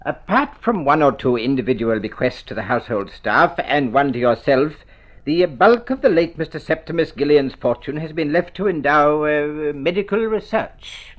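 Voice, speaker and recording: Man playing a dry, pedantic solicitor for a radio play, fairly high voice, expressive inflection, dull old recording. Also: moderate pace, lightly acted. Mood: solemn. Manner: hesitant, pedantic, formal. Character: formal, stiff family lawyer, dry and patient. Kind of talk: vintage Australian radio drama acting.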